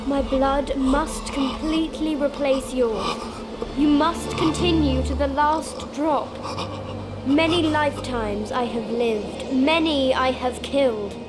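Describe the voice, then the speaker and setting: A woman's voice: sits high, slow, heavy background noise. A woman voicing an ancient, weary vampire girl in a video game, earnest, solemn and sorrowful. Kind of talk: dramatic video game cutscene acting. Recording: loud music behind.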